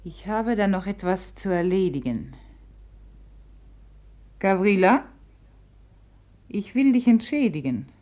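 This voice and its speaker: An actress in a 1950s German radio drama playing a proud, wounded, reckless young society beauty, theatrical and commanding, with bitter resignation underneath. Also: female voice, mid-range voice, animated, slow pace, long pauses, warm tone. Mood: cruel, taunting.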